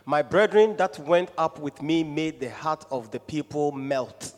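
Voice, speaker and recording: A man reading Bible verses aloud in a formal, measured way during a church service. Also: on the high side. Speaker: scripture reader and responder. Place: large reverberant room. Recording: echoing church hall.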